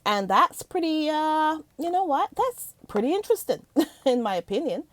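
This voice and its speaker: A middle-aged woman from Barbados, chatting excitedly in a movie review vlog, laughing often. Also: high-pitched, moderate pace, dry recording. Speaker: vlogger. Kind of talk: casual movie review chat.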